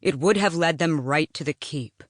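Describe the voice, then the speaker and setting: A woman's voice: low-pitched, normal speed, dry recording, clean studio sound. Woman voicing a defiant, hot-headed young male assassin. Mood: defensive.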